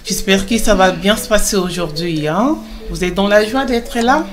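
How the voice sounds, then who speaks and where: A woman playing a kind mother who gives children a Bible lesson in an animated film, encouraging and explanatory, with some hesitations. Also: mid-range voice, moderate pace, close to an everyday voice. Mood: welcoming.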